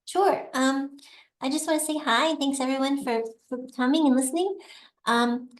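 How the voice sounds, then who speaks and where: A woman's voice: sits high, normal speed, reverberant. A middle-aged woman, a university dean, chatting through her answers, laughing easily and correcting herself as she goes. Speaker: assistant dean of a graduate school, guest. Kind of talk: conversational interview answers.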